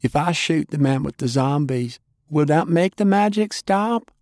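Plucky young heroine played by a male narrator: raised pitch, earnest, urgent, naive, dry sound. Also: animated, measured pace, darkish tone, no room sound. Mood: anxious questioning. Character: plucky naive young woman, eager and blunt. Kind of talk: audiobook character acting.

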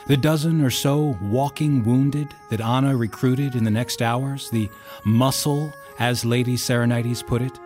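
A man's voice: mid-range voice, fairly even intonation, unhurried, darkish tone, close to an everyday voice. A man narrating a historical war tale for an audio drama in a grave, wry storytelling manner. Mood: measured, wry. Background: music under the narration.

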